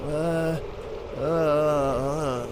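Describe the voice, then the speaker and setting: An actor playing a timid, weary, freezing follower in an audio drama, stammering and deferential. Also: male voice, fairly high pitch, steady tone, extremely slow, noisy background. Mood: weak, hesitant.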